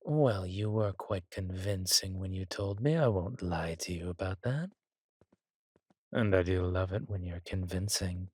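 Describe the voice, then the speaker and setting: Man playing a slow, husky, haughty villain king. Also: sits low, darkish tone, dry recording, clean studio sound. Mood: reluctant, coaxing. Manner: drawling, haughty, theatrical, sardonic. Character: arrogant, petulant villain king, cruel yet doting on his consort. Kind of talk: villain roleplay audio drama monologue.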